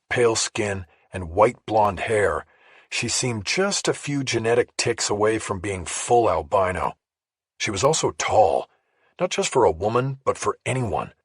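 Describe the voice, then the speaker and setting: A man's voice: lowish voice, husky, no room sound, clean studio sound. A man reading a first-person crime thriller audiobook as scripted narration.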